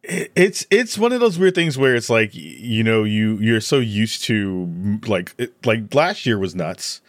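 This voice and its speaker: A man's voice: fairly low pitch, lively intonation, unhurried, dry recording, studio quality. A man speaking as a podcast guest, chatting casually and animatedly, repeating words for emphasis.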